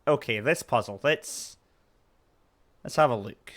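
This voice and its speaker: A man's voice: mid-range voice, measured pace. A Scottish man streaming a puzzle game, chatting casually, reading out chat messages and talking to his dog. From Scotland. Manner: chatty, reads chat aloud, coos at his puppy.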